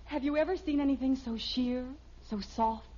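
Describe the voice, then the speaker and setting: A woman's voice: high-pitched, normal speed, mellow. An American actress playing a polite, gushing young saleswoman in a 1950s radio sitcom. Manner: effusive, salesy. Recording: muffled, noisy old radio recording.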